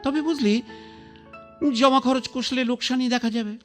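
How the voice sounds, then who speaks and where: An actor playing a proud, stubborn man past eighty, ill in bed and brooding over his life, in a radio play with gruff, slow reflective speech. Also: very high-pitched, fairly even intonation. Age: older. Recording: broadcast radio play with faint music.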